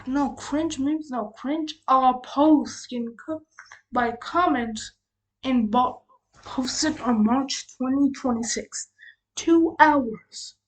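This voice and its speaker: A woman doing casual reaction commentary as a video host, halting and slangy in her delivery. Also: high voice, low-quality recording.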